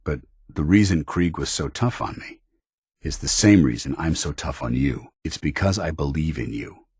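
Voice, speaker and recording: Gruff synthetic soldier, extremely low voice, husky, stern yet vulnerable, measured formal delivery, game companion dialogue. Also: male voice, animated, darkish tone, no echo, studio quality, full character voice. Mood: somber, earnest. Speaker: video game voice actor. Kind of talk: video game companion dialogue, dramatic acting.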